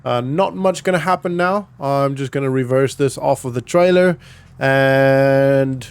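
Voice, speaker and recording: A man's voice: mid-range voice, a little slow, clean tone. A man doing casual, chatty roleplay vlog commentary over a farming video game, thinking aloud in an informal way. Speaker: gaming vlogger and host.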